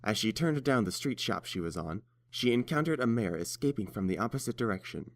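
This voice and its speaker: A man's voice: on the low side, expressive, brisk, dry recording, clean studio sound. A man reading scripted story narration as the narrator of a tale.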